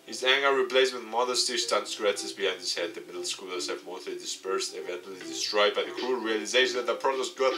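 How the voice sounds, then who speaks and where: A man's voice: on the low side, a little fast, few pauses, sharp tone. A man reading a visual novel's narration aloud as a game playthrough. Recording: game music behind the voice. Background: game music.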